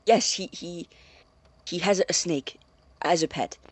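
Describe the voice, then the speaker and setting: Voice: medium pitch, slow pace, slightly rough. An actor playing an anxious, secretive man in an audio drama, stammering and defensive as he guards his experiments. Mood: nervous, evasive. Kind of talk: naturalistic audio drama acting.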